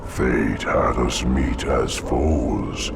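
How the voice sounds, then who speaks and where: A colossal ancient monster from a video game. Its voice is extremely low and thick with gravel. It orates in a grave, ominous way at a slow, heavy pace over loud music. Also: male voice, fairly even intonation, heavily acted. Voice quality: deep guttural booming growl. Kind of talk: slow theatrical oratory in a game cutscene.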